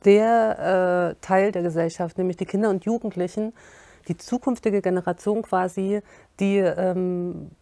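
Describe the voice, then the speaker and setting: A woman's voice: lowish voice, steady tone, measured pace, gravelly, mellow, no room sound. Thoughtful middle-aged woman moderating. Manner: thoughtful, searching for words. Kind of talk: interview moderation. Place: indoor studio with curtain.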